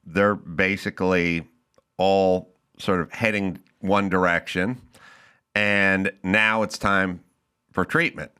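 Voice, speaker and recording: A man's voice: low-pitched, sharp tone, smooth. A man hosting a podcast interview, asking blunt, skeptical questions. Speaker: host.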